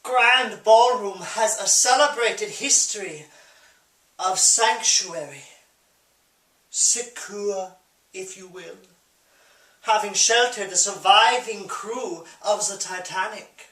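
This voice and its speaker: A man playing a flamboyant, bitter East German drag rock diva in a grandiose, campy stage monologue with dramatic pauses. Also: high-pitched, sharp tone, exaggerated voice. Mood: wry, grandiose. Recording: roomy echo, distant microphone.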